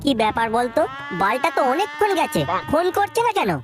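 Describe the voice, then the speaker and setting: Woman voicing a high-pitched, squabbling cartoon village guy. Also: big pitch swings, fast. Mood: flustered. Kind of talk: exaggerated comedy cartoon dialogue. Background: music.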